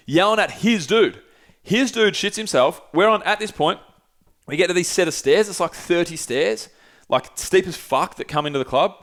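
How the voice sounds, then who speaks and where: Swearing man telling a story. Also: fairly high pitch. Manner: animated, swearing, gesturing. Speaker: podcast host. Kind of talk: casual storytelling. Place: small podcast studio. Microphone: headset with desk mic.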